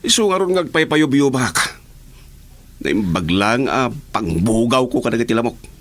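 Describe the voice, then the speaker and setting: A man's voice: medium pitch, very animated, normal speed, a bit bright. An actor with an Ilocano accent playing a joking, servile henchman who smokes and drinks, in a radio drama. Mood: grumbling, teasing. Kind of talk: Filipino radio drama acting.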